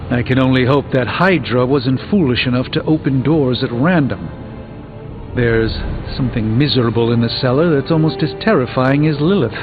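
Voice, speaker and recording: A man's voice: mid-range voice, moderate pace, natural voice. A man playing a proud, erudite sorcerer superhero in a video game, speaking formally with dry wit. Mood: confident, grave. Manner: formal, wordy, dryly witty. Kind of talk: video game character dialogue. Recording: muffled game capture with music behind.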